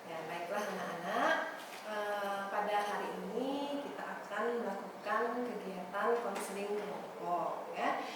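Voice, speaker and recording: A young woman leads a school group counseling session, friendly and often stumbling over filler sounds. Her voice sits at a middle pitch and comes from a distance. It echoes around a classroom over a steady background hiss. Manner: friendly, halting with many fillers. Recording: echoey room from far off, hiss.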